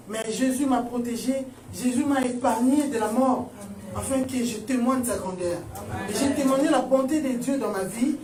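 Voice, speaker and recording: A woman giving a fervent testimony in church, slipping a few Lingala words into her speech. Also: fairly high pitch. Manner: fervent, mixing in Lingala phrases. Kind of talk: spoken testimony. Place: church hall. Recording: echoey hall, voice from far off.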